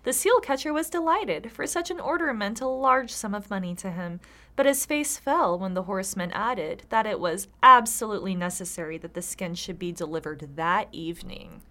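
Animated, bright-voiced tipsy woman. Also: medium pitch, moderate pace. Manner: sweary, sarcastic, giggly. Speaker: podcast co-host reading folktales. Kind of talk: casual comedic read-aloud with joking asides.

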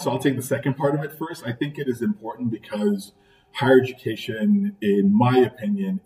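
A middle-aged man from Trinidad and Tobago speaks with a mellow, warm tone, measured and earnest. He builds his answers by repeating key phrases. His voice sits a little back from the mic. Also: medium pitch. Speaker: college president, podcast guest. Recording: voice picked up from a distance.

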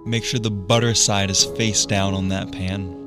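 A man's voice: sits low, level tone, moderate pace. A man, the sidekick on a morning radio show, giving a mock-dramatic recipe reading. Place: radio studio. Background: music under the voice.

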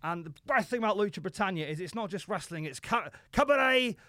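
A man from Yorkshire, a podcast guest chatting in character with deadpan, self-mocking comic asides. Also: high-pitched, quick pace, dry recording. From Yorkshire, England. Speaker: podcast guest, wrestler and comedian.

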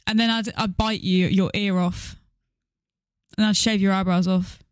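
A woman's voice: mid-range voice, fairly even intonation, a little slow, dry recording, studio quality. A young woman co-hosting a podcast, chatting casually in a playful, questioning way. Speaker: podcast co-host. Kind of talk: casual chat, reacting to stories.

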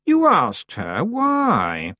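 A man voicing a bossy, sharp-tongued young witch girl with a snappish manner. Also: fairly high pitch, wildly swooping pitch, very slow, no room sound, pitched above the performer's own voice, full character voice.

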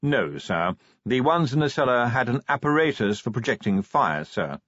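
A man voicing an unflappable formal butler, deferential, smooth and poker-faced in an audiobook story. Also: medium pitch, very animated, normal speed, a bit bright, no room sound, lo-fi sound, pitched above the performer's own voice. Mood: smooth, deadpan.

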